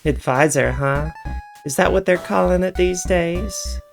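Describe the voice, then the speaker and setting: A woman's voice: fairly low pitch, measured pace, vocal fry, soft background music. A woman voicing a laid-back, cocky male knight in a visual novel, casual and breezy. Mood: teasing.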